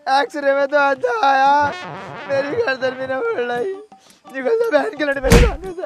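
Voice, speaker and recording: A man's voice: very high-pitched, lively intonation, normal speed, over music. A man playing an aggrieved, bossy and scheming village election candidate in a comedy sketch. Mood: angry, aggrieved.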